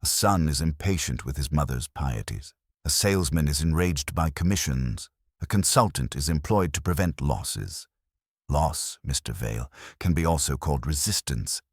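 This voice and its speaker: A man's voice: very deep voice, lively intonation, moderate pace, slightly rough, no room sound, studio quality, heavily acted. A man voicing an imperious, pedantic genius detective in an audiobook, with pompous, precise and formal speech. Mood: probing.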